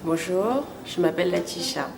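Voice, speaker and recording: A woman's voice: fairly low pitch, normal speed, creaky. A woman in her twenties of Cameroonian origin speaks in an interview about becoming a model. She phrases things carefully and formally. Faint noise sits behind her. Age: 20s. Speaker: aspiring model. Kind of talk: testimonial interview.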